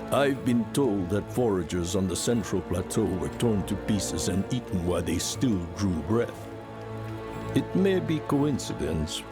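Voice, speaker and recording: Slow, solemn male emissary. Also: low voice, exaggerated voice. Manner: grave, formal, deliberate. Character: solemn spiritual emissary guiding a warrior. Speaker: emissary character. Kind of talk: fantasy game dialogue acting.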